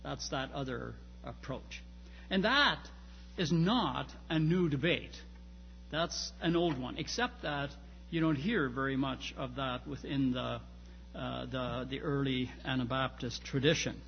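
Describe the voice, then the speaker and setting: A man's voice: medium pitch, unhurried, vocal fry. A man giving an informal academic lecture, speaking in searching phrases with repeated starts and emphatic stresses. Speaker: lecturer on church history and theology. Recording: muffled, reverberant hall with background noise.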